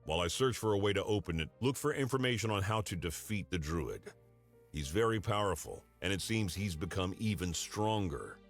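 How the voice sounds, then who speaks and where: A grave warrior father from a fantasy game. His voice sits low, and he speaks with dramatic earnestness, as a devoted protector who was once a cursed werewolf. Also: animated, a little fast, faint music behind. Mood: serious. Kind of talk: scripted video game character dialogue.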